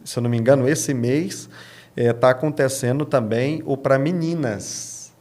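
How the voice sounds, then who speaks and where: Brazilian guy being interviewed on a podcast, explains stuff in a halting way, mid pitch. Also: darkish tone. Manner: halting, often seeking agreement with tags. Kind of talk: conversational interview answers.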